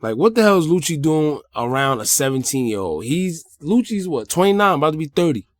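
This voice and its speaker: A man's voice: fairly high pitch, sing-song, fairly quick, no room sound, studio quality. A man with an American accent recaps news as an online host, talking colloquially and directly to viewers with his own blunt opinions. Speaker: host of a hip-hop news commentary channel. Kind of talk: news recap with casual personal commentary.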